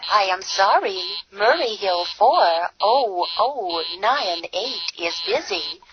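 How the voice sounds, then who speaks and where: An actress playing a polite, mechanical woman telephone operator in a 1940s radio drama, formal and flat. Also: mid-range voice, slow pace, highs cut off, music in the background. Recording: noisy 1940s radio broadcast.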